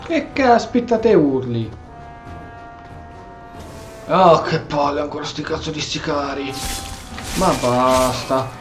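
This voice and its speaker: A man's voice: a little high, expressive, measured pace, pauses often. A man from Italy doing informal, slangy gameplay commentary with some role-play, often muttering to himself. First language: Italian. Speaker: gaming streamer. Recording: echoey room, faint game music. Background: game music.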